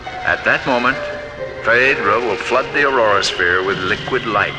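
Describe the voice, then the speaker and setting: An actor playing a solemn, authoritative leader of an alien city in a radio drama, speaking in measured, formal phrases. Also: male voice, fairly low pitch, natural voice, low-quality recording. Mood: grave, commanding. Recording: music behind, vintage radio drama.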